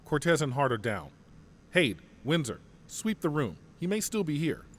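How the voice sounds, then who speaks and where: An actor playing a male general who leads his troops, giving crisp, authoritative orders. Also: on the high side, huge pitch swings, normal speed. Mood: urgent. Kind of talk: fan audio drama acting.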